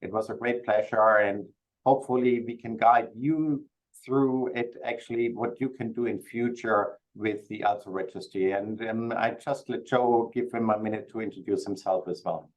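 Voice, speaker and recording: A man with a German accent gives a webinar presentation. His voice sits fairly low, and he speaks politely, with small halts and restarts. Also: poor audio. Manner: polite, slightly halting. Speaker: presenter, registry committee chair.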